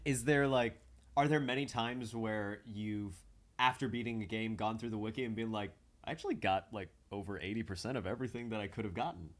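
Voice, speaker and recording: A man's voice: on the low side, brisk, fairly bright tone. A man hosting a podcast in a casual, agreeable way, readily affirming what is said. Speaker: podcast host. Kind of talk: casual chat.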